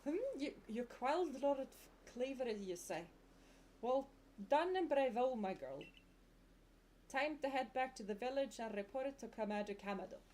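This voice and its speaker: A woman voicing an excitable, old-fashioned male professor in a video game, trying a Scottish accent, with theatrical, formal phrasing. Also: high-pitched, normal speed, slight background noise. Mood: pompous praise. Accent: put-on Scottish attempt.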